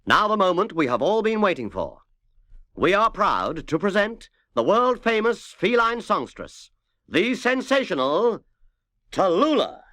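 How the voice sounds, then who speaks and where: A man's voice: sing-song, measured pace, pushed and bright, dry recording, studio quality, heavily acted. A man reading a storybook and voicing a showy male television announcer presenting a star. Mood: grandiose. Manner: grand, booming presentation. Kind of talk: storybook character voice.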